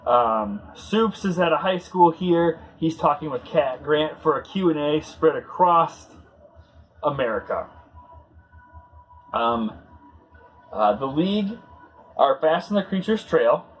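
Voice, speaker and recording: A man hosting a casual read-along commentary, talking in a halting, conversational way as he searches for words. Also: on the high side, expressive, soft background music, low-quality recording. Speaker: host.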